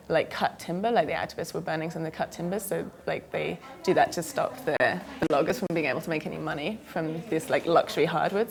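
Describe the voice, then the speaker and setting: A young woman answers an interviewer's questions, tired from a long trip and circling her words with fillers. Her voice sits low and carries a gravelly fry. She talks haltingly, piling up "like" and "um". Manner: hesitant, many fillers and "like". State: tired from travel. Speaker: filmmaker guest.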